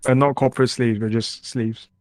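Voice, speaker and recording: A man's voice: medium pitch, level tone, moderate pace, darkish tone, no echo, studio quality. A man bantering casually on a podcast in a playful, joking way. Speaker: podcast co-founder and guest.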